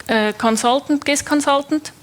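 A woman's voice: high-pitched, unhurried. A young woman with a Swiss German accent, a developer giving a technical conference talk, hesitant with many fillers and restarts. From Switzerland.

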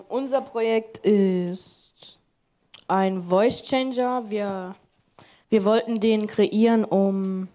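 Young female, very slow, halting, mellow tone, unrehearsed project presentation. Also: medium pitch. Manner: hesitant, halting.

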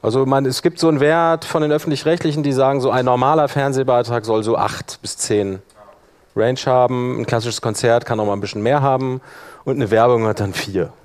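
A man presenting a live workshop, explaining software in a colloquial, hands-on way. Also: medium pitch, fairly even intonation, a little fast. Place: live workshop in front of attendees.

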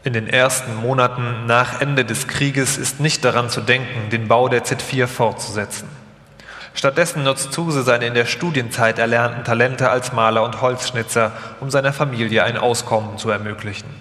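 A man's voice: mid-range voice, fairly even intonation, moderate pace, bright, forceful tone. A man reading scripted documentary narration as the narrator of a live radio feature. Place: large hall, live stage performance. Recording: echoey hall with long reverb.

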